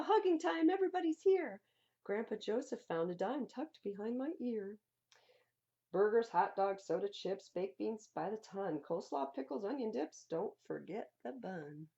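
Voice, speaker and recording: A woman reads a children's picture book aloud with playful, theatrical emphasis. Her voice is husky and mellow with some creak in it. The recording is slightly dull and lo-fi. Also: medium pitch. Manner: playful, theatrical, stresses and repeats words for young listeners. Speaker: library storytime reader. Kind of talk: expressive read-aloud of children's picture books.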